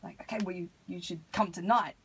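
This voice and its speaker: An Australian woman with a husky voice, talking casually as an artist being interviewed on radio. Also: on the low side, steady tone, fast talker, fairly bright tone, a little hiss or hum, rough recording. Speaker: interview guest, hip hop artist. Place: radio studio.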